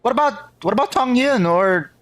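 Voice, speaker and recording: A man's voice: extremely high pitch, animated, normal speed, no echo. A man giving casual playthrough commentary as a game streamer.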